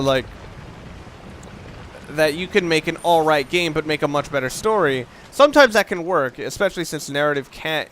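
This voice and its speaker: A man's voice: on the high side, a bit bright, a little hiss or hum. A young man giving casual, rambling commentary over a video game, often breaking off mid-sentence and swearing now and then. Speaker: let's play commentator.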